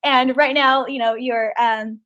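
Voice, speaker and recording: A woman's voice: high-pitched, fairly even intonation, a little slow, bright, forceful tone, muffled recording. A young woman hosting a casual interview, hesitant with many ums and small giggles. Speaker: host.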